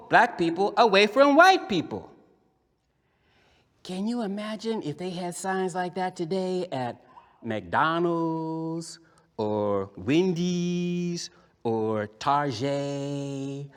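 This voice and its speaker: A man performs as a lively young boy in a puppet show, with a slightly high voice that swings widely in pitch. He tells his story emphatically, pausing for effect and speaking straight to young listeners. The sound rings with the long reverb of a hall. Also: exaggerated voice. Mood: incredulous. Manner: emphatic, deliberate pauses, conversational address to children.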